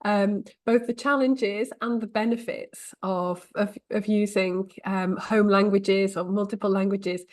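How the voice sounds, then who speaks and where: A woman's voice: mid-range voice, steady tone, unhurried, hardly any pauses, darkish tone. Woman host, upbeat and enthusiastic, reading audience questions on a video call. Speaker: webinar host. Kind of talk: hosting an online Q and A.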